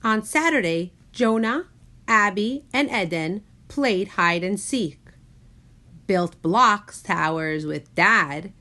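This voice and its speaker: A woman reads a children's story aloud at an unhurried pace. Her pitch swings widely and she shapes each sentence with deliberate expression. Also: lively intonation, sharp tone. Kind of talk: animated picture-book read-aloud.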